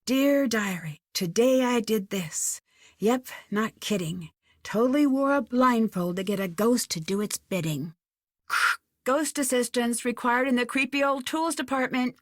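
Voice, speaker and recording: A curious young woman sleuth for a video game. She is wry and playful, taking her time over lines in a slightly high voice with a dry, close studio sound. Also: no echo. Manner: wry, playful, sing-song reciting.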